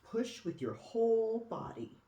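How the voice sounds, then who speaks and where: Kindly woman baker from a storybook, put on by a reader, lilting, mellow, echoey room. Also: medium pitch, big pitch swings, unhurried, muffled recording, voice pushed up. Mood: kindly, encouraging. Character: kindly woman baker inviting a small dragon in. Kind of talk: storybook read-aloud character voice.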